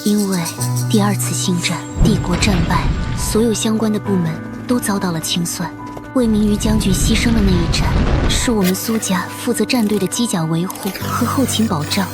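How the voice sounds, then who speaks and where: A woman's voice: mid-range voice, normal speed, lightly acted. A young actress playing an earnest, spirited young woman from a family of mechanics, over background music. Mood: somber. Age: young adult. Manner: earnest, urgent. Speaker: heroine. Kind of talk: animated drama dubbing.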